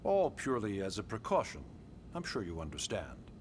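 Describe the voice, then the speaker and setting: A fairly low male voice for a senior government official in a video game. He is formal, courteous and deliberate, with calm authority. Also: slight background noise. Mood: polite, formal. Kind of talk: English video game cutscene acting.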